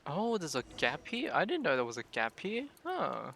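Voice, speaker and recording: Guy streaming a game, kinda high bright voice, casual muttered reactions, music in background. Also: huge pitch swings, moderate pace, gravelly, slight background noise. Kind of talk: casual live game commentary.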